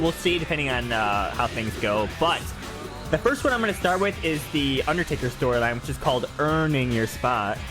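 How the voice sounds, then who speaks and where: Male gaming host, casual playthrough commentary, animated intonation, clear background music. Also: mid-range voice.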